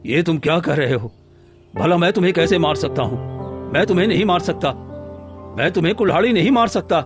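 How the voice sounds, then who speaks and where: A man playing a gentle, anxious village woodcutter in a cartoon story, speaking earnestly and hesitantly. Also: fairly high pitch, expressive, fairly quick. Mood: distressed. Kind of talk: scripted Hindi cartoon story dialogue. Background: background music.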